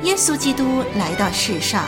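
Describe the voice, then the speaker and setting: A woman playing a solemn angel who judges souls in a radio drama, measured, authoritative and didactic, with music behind her. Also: high voice, very animated, long reverb tail. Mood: solemn, instructive. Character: solemn female angel judging souls at heaven's gate. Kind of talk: radio drama acting, preaching delivery. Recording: music bed under the voice.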